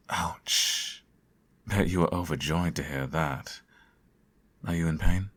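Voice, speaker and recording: A man playing a sardonic, theatrical supervillain who fusses over his nemesis in an ASMR audio roleplay, his voice slightly husky. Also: sits low, exaggerated voice. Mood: concerned, wry. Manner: theatrical, teasing, sarcastic.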